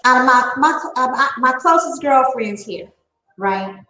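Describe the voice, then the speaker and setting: Emphatic woman nearing fifty, slow, roomy sound. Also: sits high, animated, pushed and bright, soft background music. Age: late 40s. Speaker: online panel talker. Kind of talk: candid opinion monologue.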